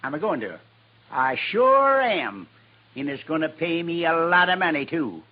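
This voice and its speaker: An actor playing a proud, bitter old American plainsman with a raspy voice and folksy phrasing, in a 1950s radio Western. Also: fairly high pitch, very animated, normal speed. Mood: defiant, menacing. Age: older. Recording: dull lo-fi 1950s radio recording.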